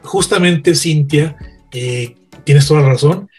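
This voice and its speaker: Male radio veteran, raspy-edged higher voice, emphatic storytelling with repeated phrases. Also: takes it slowly, darkish tone, soft background music. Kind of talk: animated anecdote-telling in a panel chat.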